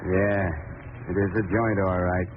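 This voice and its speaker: An actor playing a curt, gruff, slangy henchman in a vintage radio drama. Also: low-pitched, flat delivery, measured pace, background noise. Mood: gruff, wary. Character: gruff, steadier male gangster henchman. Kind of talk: 1940s radio acting. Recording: tinny vintage radio recording.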